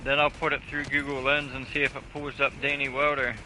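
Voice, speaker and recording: A man's voice: mid-range voice, level tone, a little fast, music in the background, low-quality recording. A man streaming a game live, chatting casually with crude, profane jokes. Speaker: gaming streamer.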